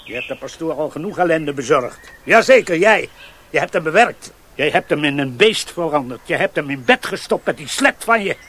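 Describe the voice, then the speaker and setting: An actor playing a hot-tempered, pious bully in a radio play, ranting, abusive and indignant. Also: male voice, on the high side, expressive, moderate pace, a bit bright, slightly rough. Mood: venomous. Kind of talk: Dutch radio play acting.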